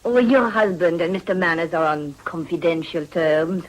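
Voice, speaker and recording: An actress playing a shrewd, worldly madam in a period radio drama, wheedling in a deliberate, genteel and businesslike way. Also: female voice, fairly low pitch, steady tone, natural voice, low-quality recording. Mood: silky, insinuating.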